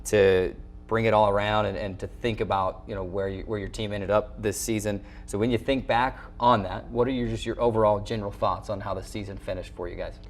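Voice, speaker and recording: A man's voice: on the low side, fairly even intonation, fairly quick. A man hosting a sports show, delivering recaps and interview questions as a play-by-play announcer.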